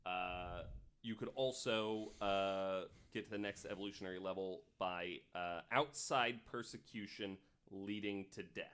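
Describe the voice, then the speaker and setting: A man hosting a podcast, explaining researched material in a casual storytelling style, with pauses between read passages. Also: sits low, fairly even intonation, a bit bright, clean tone. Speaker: podcast host.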